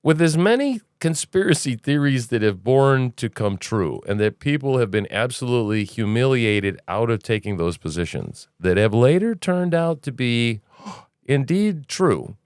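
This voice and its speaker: A man's voice: mid-range voice, sing-song, measured pace, a little mellow, clear, resonant tone, no echo, studio quality. A man hosting a casual news commentary podcast, rambling and opinionated, with sarcastic asides. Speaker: podcast host.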